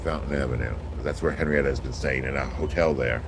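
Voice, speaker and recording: Very deep-voiced hard-boiled private eye. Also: male voice, fairly even intonation, quick pace, noisy background. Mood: brusque, commanding. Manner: brusque, slangy, commanding. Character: hard-boiled male private detective, impatient and sardonic. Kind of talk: 1940s radio detective drama acting.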